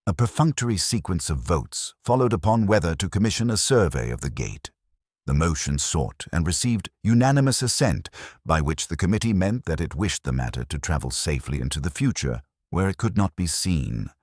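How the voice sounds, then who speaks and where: Male narrator for a wry mystery novel: very deep, formal, lively intonation, close dry sound. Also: a little fast, no room sound. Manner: formal, dryly ironic. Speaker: narrator and reader. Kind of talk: scripted literary mystery narration.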